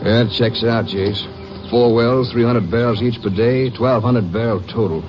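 A noisy, dull old radio recording carries a medium-pitched American male voice. An actor plays an easygoing undercover sidekick, plain and folksy, in 1950s radio drama style. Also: measured pace, lightly acted. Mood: businesslike.

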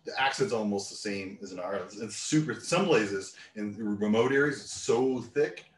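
A man's voice: on the low side, moderate pace, far from the mic. A man from Newfoundland hosting a casual podcast, loose and joking, laughing often. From Newfoundland, Canada. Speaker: podcast host. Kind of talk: casual chat. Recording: roomy sound, a bit off mic.

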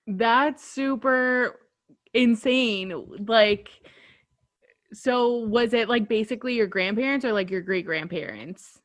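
A woman's voice: on the high side, slow pace. A young woman hosts a podcast in an easy, friendly manner. She asks questions casually and drops in a lot of "like". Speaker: podcast host.

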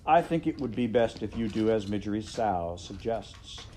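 A man voicing a villainous rat in a storybook, smooth-talking, formal, pompous and menacing. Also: lowish voice, expressive, normal speed, warm tone, a little hiss or hum, lightly acted. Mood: smooth menace.

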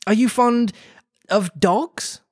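A man's voice: high voice, slow, no room sound, studio quality, pitched above the performer's own voice. A man reading the part of a polite, eager storybook girl who chatters and apologises. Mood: eager, chatty.

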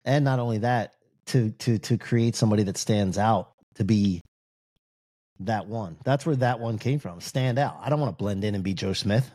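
A man's voice: fairly low pitch, normal speed, mellow, no echo, clean studio sound. A man hosting a podcast, asking long, rambling questions in a jokey, casual way. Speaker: podcast host. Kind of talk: casual interview chat.

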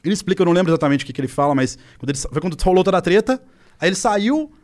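A man's voice: high-pitched, very fast. A man in his thirties from Minas Gerais, speaking as a guest on a podcast, telling stories with lots of filler words. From Minas Gerais, Brazil. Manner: animated storytelling, frequent filler words. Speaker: podcast guest, esports coach. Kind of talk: casual podcast chat. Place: podcast studio.

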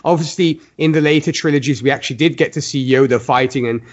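A man's voice: mid-range voice, quick pace, lo-fi sound. A man hosting a casual film discussion podcast, talking in a rambling, hedging way and often restarting his sentences. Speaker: podcast host.

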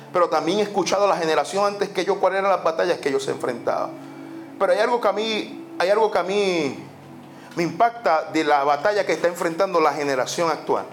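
High-voiced preacher. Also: male voice, a little hiss or hum. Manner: emphatic preaching, repeats phrases, mixes in English "so". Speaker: pastor. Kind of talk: sermon. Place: church sanctuary.

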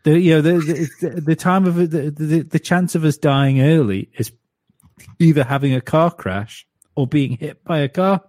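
A man's voice: a little high, moderate pace, darkish tone, dry recording, clean studio sound. A man in his late thirties, a podcast guest chatting casually, stumbling over restarts and repeated words. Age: 30s. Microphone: home studio mic.

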